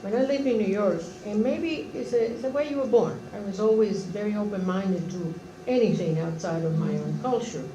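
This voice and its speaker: A woman's voice: mid-range voice, expressive, a little fast, warm tone, highs cut off. A woman from Cuba, telling personal stories earnestly in a group discussion. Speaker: discussion participant. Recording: echoey room, far from mic, audible background noise.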